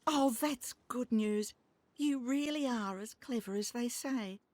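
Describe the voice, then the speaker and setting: Female servant for a Victorian game: deferential, admiring, very slow delivery, dry recording. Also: a little high, a little mellow, no echo. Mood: pleased. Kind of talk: video game voice acting.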